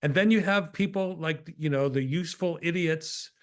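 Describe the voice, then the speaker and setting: A man's voice: fairly high pitch, normal speed. A man hosting a political interview in a conversational way, earnest and opinionated as he shares his views. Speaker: show host.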